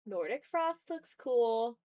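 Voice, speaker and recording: A woman's voice: on the high side, big pitch swings, slow, warm tone. A woman livestreaming as she draws, chatting casually with viewers and muttering to herself as she talks through each step. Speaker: art streamer. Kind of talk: casual livestream chat while drawing.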